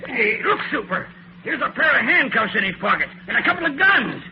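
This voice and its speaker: An actor playing a rough, excitable outlaw henchman in an old-time radio drama. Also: very high-pitched, fairly quick, exaggerated voice. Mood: urgent. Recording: muffled, very noisy vintage radio recording.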